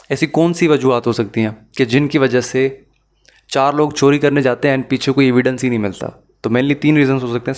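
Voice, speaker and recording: Male lecturer, Pakistani accent, mid-range voice, explains methodically in a tutorial style, one step at a time. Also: mid-range voice. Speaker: law lecturer. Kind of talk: explanatory lesson mixing Urdu and English.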